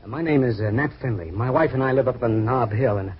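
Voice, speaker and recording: Man acting anxious, fast-talking American client. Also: medium pitch, slightly rough, natural voice. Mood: haunted, pleading. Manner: hesitant, pleading, repeats himself nervously. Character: anxious, haunted retired male client, softening ex-fighter. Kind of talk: 1940s radio drama acting. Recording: muffled, hissy old radio recording.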